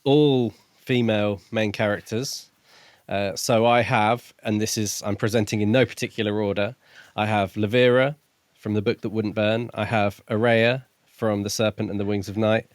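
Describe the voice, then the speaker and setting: A man co-hosting a podcast, discussing books casually in a measured, orderly way. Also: fairly low pitch, fairly even intonation, dry recording. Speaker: podcast co-host.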